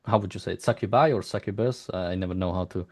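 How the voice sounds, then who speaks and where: A man's voice: low voice, darkish tone. A man interviewing someone, speaking hesitantly in rambling questions with frequent restarts. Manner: hesitant, halting, rambling, often restarts sentences. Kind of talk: unscripted interview, long exploratory questions.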